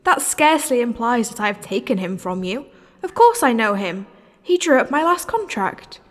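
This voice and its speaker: High-voiced, condescending stage actress. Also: female voice, sing-song, moderate pace, fairly bright tone. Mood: cool, bemused. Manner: theatrical, articulate, condescending. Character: poised, condescending, worldly successful stage actress. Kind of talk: audio drama acting. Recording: reverberant hall-like room.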